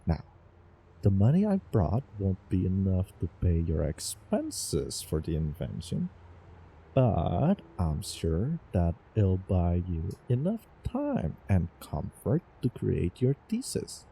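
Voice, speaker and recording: A man playing a doting, obsessive young time traveler in love, in a theatrical romantic audio roleplay with halting, repeated words. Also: low voice, huge pitch swings, vocal fry, mellow, slight background noise, heavily acted. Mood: reassuring, eager. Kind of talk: over-the-top romantic roleplay audio drama.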